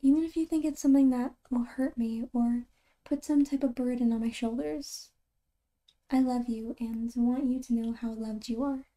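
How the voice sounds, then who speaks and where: A woman playing a caring, reassuring girlfriend in an ASMR roleplay, soft-spoken and breathy, close to a whisper, with halting, tender delivery. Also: fairly high pitch, fairly even intonation, lightly acted. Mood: tender reassurance.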